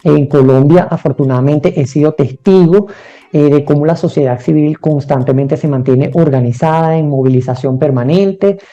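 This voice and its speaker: A man's voice: a little high, normal speed, dark tone, highs cut off. A man, a journalist guest on an interview podcast, speaking earnestly and urgently. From Venezuela. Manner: earnest, urgent, politically outspoken.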